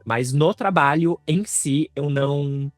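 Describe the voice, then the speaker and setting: A man's voice: fairly high pitch, slow, no room sound, faint music behind. Informal Brazilian man chatting, dry sound. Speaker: podcast co-host. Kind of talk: casual personal chat.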